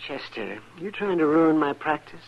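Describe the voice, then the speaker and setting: An actor playing a crusty, excitable, talkative frontier town doctor with a raspy, sharp voice in an old radio western. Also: male voice, fairly high pitch, moderate pace. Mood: crotchety. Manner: crotchety, animated. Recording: muffled old radio broadcast.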